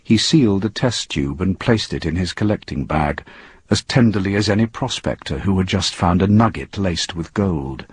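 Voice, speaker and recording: A man with a husky voice narrating a scripted story in a dramatic reading style. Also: low-pitched, expressive, moderate pace, no echo. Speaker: audiobook narrator.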